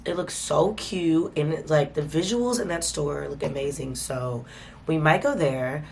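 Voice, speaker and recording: A woman chats informally into a handheld camera, calling her viewers y'all as she talks them through her day. Her voice sits a little low and sounds congested and stuffy from a cold. Faint ambient noise hangs behind her. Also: unhurried, gravelly, darkish tone. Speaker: vlogger host. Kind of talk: casual vlog chat to camera.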